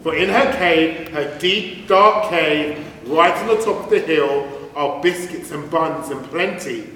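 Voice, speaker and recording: A man voicing a plucky, clever young female duck with a bright, chirpy, coaxing manner. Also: on the high side, takes it slowly, slight background noise. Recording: echoey hall, from far off.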